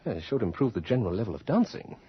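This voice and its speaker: An actor playing a flustered, deferential gentleman, fussy and given to stammering exclamations in a radio drama. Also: fairly low pitch, big pitch swings, fast, slightly rough. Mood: incredulous. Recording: muffled radio drama with music behind. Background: music.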